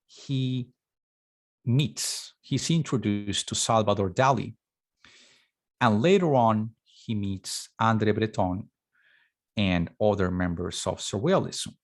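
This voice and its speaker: Lively male art lecturer. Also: mid-range voice. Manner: animated, thinking aloud with fillers and self-corrections. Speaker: art talk presenter.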